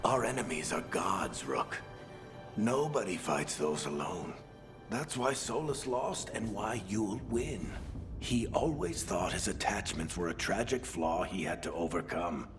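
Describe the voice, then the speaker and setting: A wise fantasy game mentor with a deep voice. He speaks solemnly and deliberately, reassuring, with music clearly behind. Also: lively intonation. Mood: solemn, encouraging. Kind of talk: video game voice acting.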